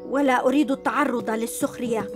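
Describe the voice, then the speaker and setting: Fast-talking, woman playing a wary little cat-hero boy, earnest, cartoon dub. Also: a little high, fairly even intonation, natural voice. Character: cautious, proud young cat-hero boy. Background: music.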